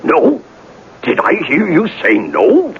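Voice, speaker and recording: Radio drama: jealous, formal blusterer, very animated pitch, muffled old recording. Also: male voice, slow pace, vocal fry, a little hiss or hum, exaggerated voice. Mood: pompous, indignant. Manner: pompous, formal, indignant. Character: pompous, jealous, hot-tempered fiancé spoiling for a duel. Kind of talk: 1970s radio drama acting.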